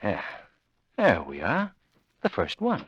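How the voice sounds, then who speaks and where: Suave, lively-voiced male sleuth. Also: on the low side, highs cut off, slight background noise, heavily acted. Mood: brisk, reassuring. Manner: urbane, wry, brisk. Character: Lamont, suave, confident, wryly affectionate amateur sleuth. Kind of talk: old-time radio mystery acting.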